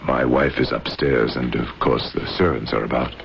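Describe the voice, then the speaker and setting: Very deep-voiced, brooding general. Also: male voice, fairly even intonation, normal speed, vocal fry. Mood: guarded, civil. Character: brooding, embittered older general, devoted husband. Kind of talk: old-time radio drama acting. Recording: noisy, dull vintage radio recording.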